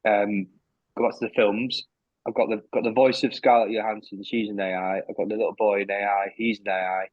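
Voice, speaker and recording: A man hosts a podcast chat, his questions coming out in halting bits, restarts and little laughs. His voice sits low, and the sound is dry and dull, as if through a lo-fi line. The delivery stays loose and informal. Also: level tone, no room sound. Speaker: podcast host. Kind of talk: casual chat.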